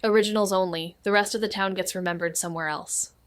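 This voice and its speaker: An actress playing a perceptive young amateur sleuth in a noir audio drama, terse and deadpan. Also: female voice, medium pitch, fast, no echo, close to an everyday voice. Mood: measured, brooding.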